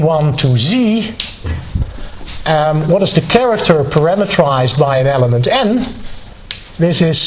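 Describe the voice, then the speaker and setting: A man giving a university lecture, explaining step by step in a deliberate, halting way and often repeating and rephrasing his words. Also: a little high. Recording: tinny phone-like band, noise, clicks.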